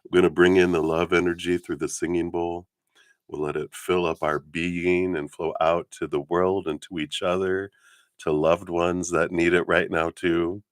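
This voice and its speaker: A man with a low morning voice hosting a live spiritual reading stream, chatting casually in a giddy, effusive way. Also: little pitch movement, normal speed, dry recording. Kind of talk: casual livestream chat with meditation guidance.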